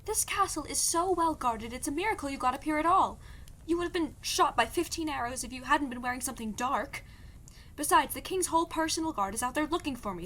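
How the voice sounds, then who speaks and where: Young princess for audio drama woman performer: higher-pitched, blunt, skeptical and exasperated, faint ambience behind. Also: a bit bright, a little hiss or hum. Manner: blunt, dry, doubtful. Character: skeptical, exasperated young princess fleeing arranged marriage, guarded. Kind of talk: scripted audio drama acting.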